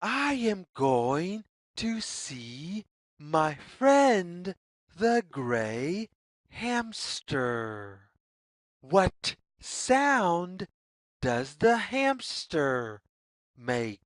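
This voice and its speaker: A woman reading a picture book aloud to young children as a narrator, with deliberate, measured, sing-song phrasing. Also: fairly low pitch, very animated, dry recording, studio quality.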